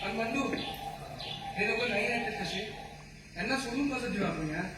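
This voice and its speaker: A man's voice: extremely high pitch, lively intonation, normal speed. A man playing a stern, distrustful forest tribesman in an amateur stage play, declaiming reproachful questions. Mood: bitter, distrustful. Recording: very echoey noisy hall, far from mic.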